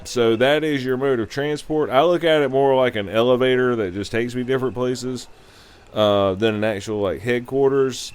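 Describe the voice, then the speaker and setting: A man giving a casual, rambling game review in a loose, halting, unscripted way. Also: medium pitch, a little mellow, slight background noise.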